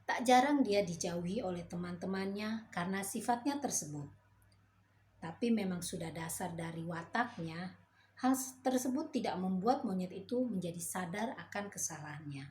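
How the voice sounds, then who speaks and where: Woman, children's storyteller, gentle motherly narration, faint hiss. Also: medium pitch, animated, normal speed. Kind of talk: reading a children's fairy tale.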